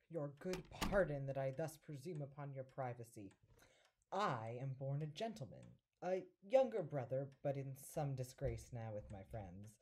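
A polite young gentleman devil acted by a woman speaks low and mellow, with lively swoops of pitch. The manner is formal and courteous, from an old stage comedy audio drama. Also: full character voice. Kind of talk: early modern stage comedy acting.

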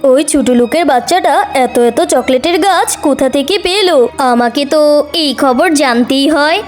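A woman's voice: high-pitched, normal speed, soft background music, lightly acted. A woman voicing a greedy, jealous, scheming mother fox with a scornful manner in a children's cartoon.

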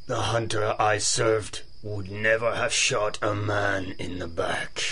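Very slow, solemn seer. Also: male voice, lowish voice, flat delivery, sharp tone, heavily acted. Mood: solemn defiance. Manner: solemn, portentous. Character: grave male servant and seer, solemnly defiant. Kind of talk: 1930s-style radio adventure acting. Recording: noisy night ambience with insects.

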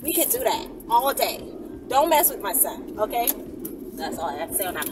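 Sassy high-pitched woman in a car. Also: sing-song, moderate pace. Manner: sassy, emphatic, repeats phrases for emphasis. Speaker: vlogger answering viewer questions. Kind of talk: casual, candid chat to camera. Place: inside a car, often while driving. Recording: noisy car interior, slightly distant mic. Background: vehicle and road noise.

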